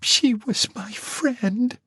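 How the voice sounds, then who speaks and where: A man voicing a frightened teenage runaway girl who stammers and sobs in a high, trembling voice. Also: sing-song, unhurried, a little mellow, breathy edge, no room sound, studio quality, heavily acted. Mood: tearful.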